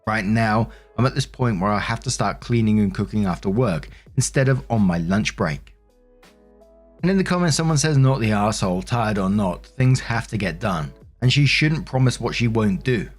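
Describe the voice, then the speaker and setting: Low-voiced man narrating online stories. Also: animated, normal speed, husky, soft background music. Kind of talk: reading Reddit stories aloud with casual commentary.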